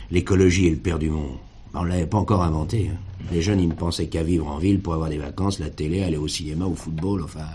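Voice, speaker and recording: An actor playing a plainspoken farmer in a drama, talking in a colloquial, rambling way. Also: male voice, low-pitched, dark tone, lightly acted. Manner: colloquial, rambling, punctuated with verbal tags. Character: weary farmer talking about his estranged brother.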